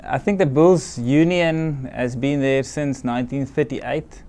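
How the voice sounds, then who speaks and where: A middle-aged South African man for whom English is a second language, answering interview questions in a modest, hesitant way with many pauses. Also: medium pitch, fairly even intonation, a little mellow. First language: Afrikaans or other non-English language. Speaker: team doctor, guest.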